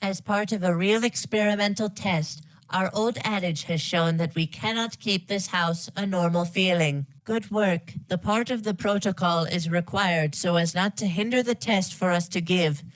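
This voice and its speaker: A woman voicing a polite computer test announcer in a game parody, with formal, deadpan scripted delivery. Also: fairly low pitch, moderate pace, hardly any pauses, no room sound, lightly acted, poor audio.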